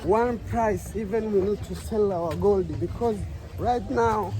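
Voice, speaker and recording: High-voiced Tanzanian man, eager and halting. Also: warm tone, low-quality recording. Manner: eager, repeats words, halting. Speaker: local guest explaining history. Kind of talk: casual chat.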